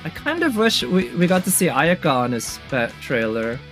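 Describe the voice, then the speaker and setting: Guy streaming reactions, kinda higher voice, just musing out loud casually w music playing behind him. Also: very animated, normal speed. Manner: off-the-cuff musing, sometimes humming tunes. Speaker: streamer reacting to game trailers and ranking them. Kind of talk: casual live commentary. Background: game trailer music with guitar.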